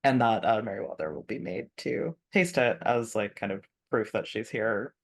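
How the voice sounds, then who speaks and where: A man's voice: fairly low pitch, moderate pace, gravelly. A man playing in a tabletop roleplaying game, casually working out his character's actions aloud in a tentative way. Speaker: player in a tabletop RPG. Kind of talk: casual improvised game talk.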